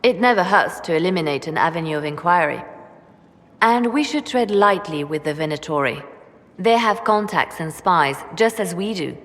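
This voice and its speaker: An actress playing a brooding, guarded spymaster in a fantasy game, speaking in a measured, cool and deliberate way. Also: female voice, fairly low pitch, lively intonation, a bit bright. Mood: cool, calculating. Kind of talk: video game dramatic acting. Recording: long hall reverb.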